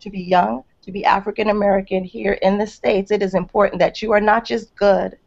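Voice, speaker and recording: A woman's voice: mid-range voice, steady tone, a little fast, gravelly. Passionate, emphatic woman school leader. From Brooklyn, New York. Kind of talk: panel video chat. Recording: dull lo-fi sound.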